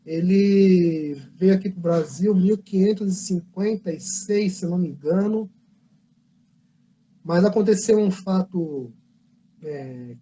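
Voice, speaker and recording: Brazilian male artist, higher-pitched, warm and breathy, rambling livestream storyteller. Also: muffled recording, slight background noise. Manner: rambling, self-correcting storyteller. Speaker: comic book artist. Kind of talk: casual livestream talk. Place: convention livestream.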